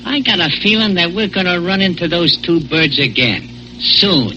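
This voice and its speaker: High-voiced comic radio sidekick. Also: male voice, expressive, moderate pace, sharp tone, faint music behind, heavily acted. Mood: uneasy, earnest. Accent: American. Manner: folksy, slangy, earnest. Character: Icky, slangy, eager, comic male sidekick mechanic. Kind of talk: 1940s radio adventure acting. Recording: muffled 1940s radio recording.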